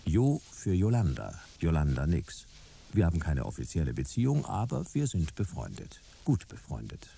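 A man's voice: extremely low pitch, huge pitch swings, normal speed, vocal fry, dark tone, slight background noise, full character voice. A man playing a detective who narrates his own case in a terse, wry noir style for a radio drama.

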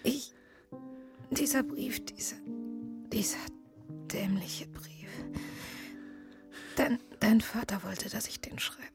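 Uneasy young woman, slow and whispery. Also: a little high, music in the background. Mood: pained, pleading. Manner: hesitant, fragmented, breathy whispering. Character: guarded young woman leaving lover, turning terrified. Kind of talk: intimate radio drama acting.

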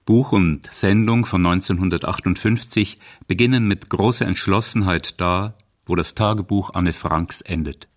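A man with a deep voice narrates a scripted radio feature. His delivery is formal and measured, like a documentary presenter.

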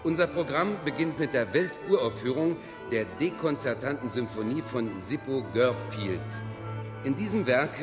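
A solemn male announcer, played by an actor, presents a classical concert for a radio play. His delivery is formal and florid, pitched in the middle. The voice rings with long hall reverb over clearly audible music. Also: animated, moderate pace, close to an everyday voice. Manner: formal, high-flown.

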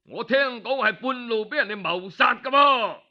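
A man's voice: very high voice, moderate pace, muffled recording, voice pushed up. A man with a Cantonese accent voicing a cruel, domineering duke in a story, sneering as he interrogates. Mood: scornful. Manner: sharp, mocking, interrogating.

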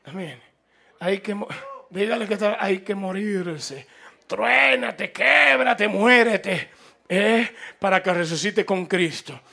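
High, gravelly voice of a fervent preacher. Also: male voice, takes it slowly, sharp tone. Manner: fervent and emphatic, with repetition and rising exhortation. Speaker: radio preacher. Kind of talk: evangelical sermon.

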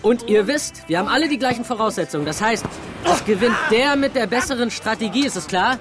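A man's voice: very high-pitched, animated, fairly quick. An actor playing an upbeat, boastful young man in a cyborg body, with a casual brotherly manner, in a radio drama. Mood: cocky, brotherly. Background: loud music.

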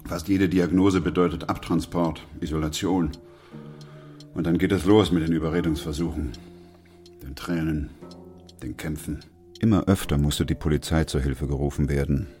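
An actor playing a sober, dutiful doctor who also narrates a radio drama, in a measured and restrained manner. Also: male voice, rumbling low, fairly even intonation, mellow. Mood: weary, matter-of-fact. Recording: music behind the voice. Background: music.

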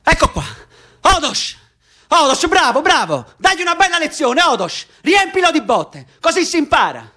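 Hot-headed prisoner, high swooping voice. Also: male voice, big pitch swings, normal speed, bright, forceful tone, heavily acted. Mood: mocking. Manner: vehement, coarse, repeating himself in agitation. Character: hot-headed, stubborn, foul-mouthed male political prisoner. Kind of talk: theatrical radio drama acting.

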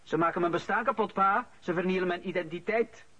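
An actor with a Flemish accent playing an aggrieved, bitter adult son who feels wrongly accused in a radio play. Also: male voice, sits high, brisk, a bit bright, no echo. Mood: anguished, indignant. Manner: agitated, bitter, emphatic. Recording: muffled radio drama recording.

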